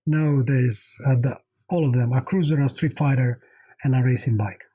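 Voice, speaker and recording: A man's voice: mid-range voice, fairly even intonation, moderate pace, warm tone, slightly rough. A middle-aged man from Italy with an Italian accent, answering interview questions conversationally as an executive guest.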